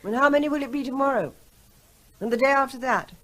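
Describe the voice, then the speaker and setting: A woman's voice: high-pitched, quick pace, a bit bright. An actress playing an anxious middle-aged wife who pleads emotionally with her husband in a radio drama. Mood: distressed. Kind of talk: 1970s radio drama acting.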